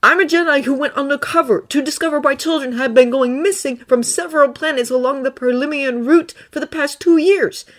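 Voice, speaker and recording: A woman's voice: high voice, fairly quick, hardly any pauses, voice pushed up, lightly acted. A woman voicing an undercover male Jedi, earnest and weary, in a fan fiction audiobook.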